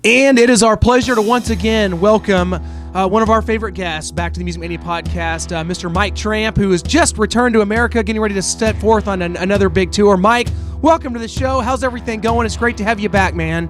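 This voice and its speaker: A man's voice: sits high, a little fast, faint music behind. A man hosting a podcast interview, chatty and chummy, with lots of "uh" fillers. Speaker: podcast host. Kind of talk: casual interview.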